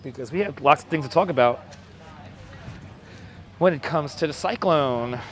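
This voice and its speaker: A hoarse man hosting a casual outdoor livestream, welcoming viewers by name one after another in a crackly, squeaky voice. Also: moderate pace. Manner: reels off viewer names with repeated welcomes. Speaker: livestream host. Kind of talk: casual live chat greeting viewers. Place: outdoors on a beach boardwalk, city street sounds. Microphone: wireless clip-on mic. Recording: outdoor phone livestream with street noise. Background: faint traffic and city ambience.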